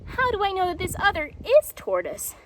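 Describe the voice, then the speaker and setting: A woman's voice: very high voice, wildly swooping pitch, normal speed, fairly bright tone, slight background noise, pitched above the performer's own voice, heavily acted. A woman voicing an earnest, easily puzzled young jaguar in a children's storybook, with an emphatic, childlike, petulant manner. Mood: muddled, exasperated.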